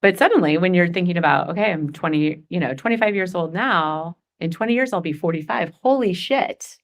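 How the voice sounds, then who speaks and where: A woman's voice: on the low side, lively intonation, a little fast. A woman in her forties leading a goal-setting workshop, chatty and upbeat, laughing often. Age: 40s. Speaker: workshop facilitator.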